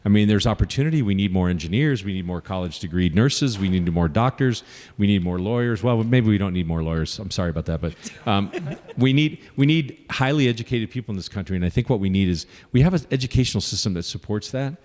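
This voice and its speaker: Man, lowish voice, political candidate at a forum, echoey hall sound. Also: animated, a little mellow. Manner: earnest, lists points, pauses with fillers. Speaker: congressional candidate. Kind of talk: answering audience questions at a forum.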